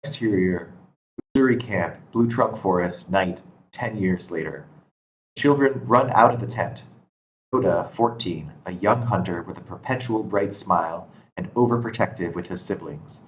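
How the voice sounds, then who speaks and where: A man's voice: sits low, normal speed, low-quality recording. A man reading scripted stage directions as narrator at a screenplay table read. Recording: constant background noise.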